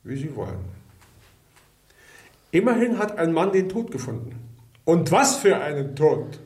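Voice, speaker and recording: An actor in a radio drama playing a suave, cynical Tsarist police chief, urbane, mocking and insinuating. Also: male voice, on the high side, big pitch swings, unhurried, darkish tone, echoey room. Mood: cool persuasion.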